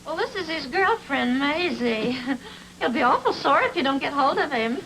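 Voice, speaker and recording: Casting: coy, perky noir girlfriend, high swooping voice, radio drama, background noise. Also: lively intonation, moderate pace, a bit bright, low-quality recording. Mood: coy, giggly.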